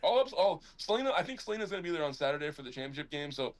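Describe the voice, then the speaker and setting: A man co-hosting a casual sports talk show, telling rambling, animated stories about coaching kids, loud when he gets excited. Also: a little high, very fast. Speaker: podcast co-host and youth basketball coach.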